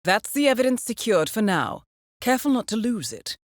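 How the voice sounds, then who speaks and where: A woman playing a composed, dry-witted intelligence handler in a video game, speaking in a measured, formal, understated way as she briefs an assassin. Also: on the high side, sing-song, dry recording. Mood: businesslike, urgent. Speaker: mission handler. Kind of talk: scripted video game voice acting, mission briefing.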